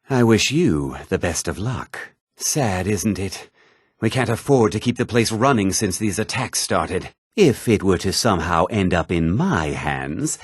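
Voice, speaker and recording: A man's voice: fairly low pitch, slightly rough, no echo, studio quality. An actor voicing a haughty, self-important townsman in a fantasy video game, with a pompous, theatrical delivery. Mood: earnest, formal, then businesslike. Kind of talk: scripted fantasy video game character dialogue.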